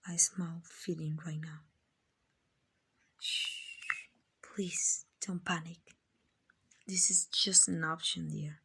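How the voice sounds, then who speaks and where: A gentle vampire mistress acted by a woman speaks in a slow, breathy hush, often close to a whisper. Her voice is on the low side, with plenty of creak. She is tender and reassuring throughout, in the manner of an ASMR roleplay. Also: exaggerated voice. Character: kind, gentle female vampire mansion owner, soothing a captive.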